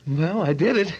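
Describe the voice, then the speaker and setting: An actor playing a weary, nervous, gullible man who tries to seem bold, halting and sheepish, in a 1940s radio drama. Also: on the high side. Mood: uneasy. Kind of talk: 1940s radio drama acting, naturalistic dialogue. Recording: muffled old radio recording.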